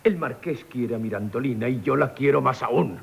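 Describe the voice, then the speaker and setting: An actor playing a rich, lovestruck count, gallant and declamatory, in a Castilian-accented radio comedy, with a gravelly, husky voice. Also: male voice, medium pitch, moderate pace. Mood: argumentative. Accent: Castilian Spanish. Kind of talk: theatrical 1970s radio comedy acting.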